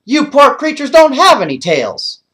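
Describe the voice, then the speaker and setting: An excitable, happy storybook dog performed by a man, pitched high and very animated. The collie barks out words with eager, playful exclamations. The delivery is boisterous and bouncy. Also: unhurried, full character voice. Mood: eager, teasing. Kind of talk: animated children's storybook character voice.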